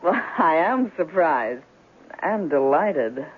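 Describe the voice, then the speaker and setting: A woman's voice: low-pitched, very animated, slow pace, full character voice. An actress playing an alluring, evasive female client in a 1970s detective radio drama. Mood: coy, surprised. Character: alluring, evasive female client in trouble, noir femme fatale. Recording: muffled old radio broadcast.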